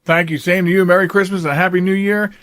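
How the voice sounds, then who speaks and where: A man's voice: high voice, fairly even intonation, quick pace, fairly bright tone, dry recording, clean studio sound. A man hosting a podcast, chatting casually with a guest in a chatty, rambling way. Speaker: podcast host. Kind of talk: casual interview chat.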